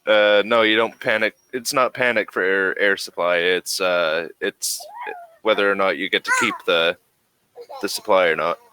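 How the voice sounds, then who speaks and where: A man narrating a tabletop role-playing game as game master in a casual, halting way, thinking aloud as he goes. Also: sits low, sharp tone, a little hiss or hum. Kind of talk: casual, improvised game narration and rules talk.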